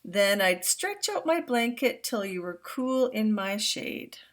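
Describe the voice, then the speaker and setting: Protective storybook father with warm reassuring promises, unhurried, read by a grandmother. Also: mid-range voice, big pitch swings. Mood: tender. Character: loving Maasai father, steady and protective. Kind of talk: read-aloud picture book.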